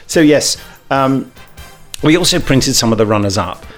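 A man's voice: mid-range voice, lively intonation, normal speed. Bumbling man co-hosting, faint music behind. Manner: bumbling, self-deprecating, chuckly. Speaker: podcast co-host. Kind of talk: casual, jokey podcast news chat.

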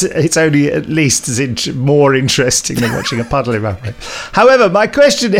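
A middle-aged man hosting a podcast in casual chat, reading listener emails with wry, bantering asides. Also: medium pitch. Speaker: podcast host, audio drama director and composer.

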